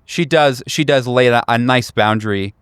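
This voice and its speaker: A man runs a tabletop horror game as game master, narrating scenes to his players. His voice is clean and resonant at a middling pitch, recorded with very little room sound. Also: normal speed, a bit bright, clean tone, no room sound, studio quality.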